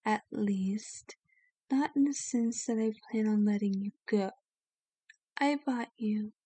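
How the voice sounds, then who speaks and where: Woman acting as a kind vampire mistress, unhurried, mellow, soft and breathy, soothing, genteel, ASMR roleplay. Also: medium pitch, dry recording. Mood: gentle, welcoming. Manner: gentle, soothing, formal and genteel wording.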